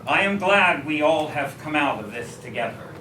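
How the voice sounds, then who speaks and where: Casting: man to play a warm, fatherly statesman in a stage reading, very varied intonation, recorded from afar. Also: fairly high pitch, animated, normal speed, lightly acted. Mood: gentle, reflective. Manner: affectionate, expansive. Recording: roomy, distant mic, noisy restaurant.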